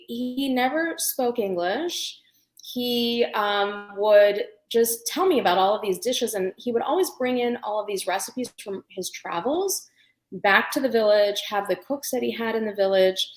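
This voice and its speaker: A middle-aged woman, raised in the United States, with a light Indian accent, telling stories as an interview guest in a lively, chatty way. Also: a little high. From India, raised in Pennsylvania. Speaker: guest, food blogger and cookbook author. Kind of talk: conversational interview storytelling. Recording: roomy echo.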